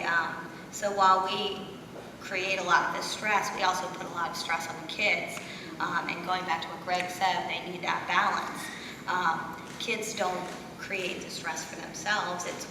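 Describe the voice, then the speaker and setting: A woman speaking conversationally as a panelist in a discussion. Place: school theater stage at a panel table. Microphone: distant from the speaker. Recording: echoey hall, distant mic, rumbling noise.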